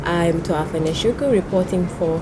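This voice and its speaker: A woman with a Namibian accent, working as a reporter and conducting an interview. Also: moderate pace. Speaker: news agency reporter, interviewer. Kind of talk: on-camera interview. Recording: noisy ambient background, traffic-like rumble.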